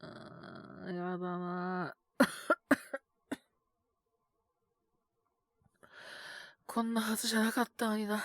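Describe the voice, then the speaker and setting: A man's voice: high-pitched, fairly even intonation, slow pace, a bit bright, no room sound, studio quality. A man acting an earnest, polite young junior coworker who is sick with a cold, coughing and sighing in an intimate Japanese voice drama. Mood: weary, unwell. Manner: polite and deferential on the phone, sighing, murmuring to himself.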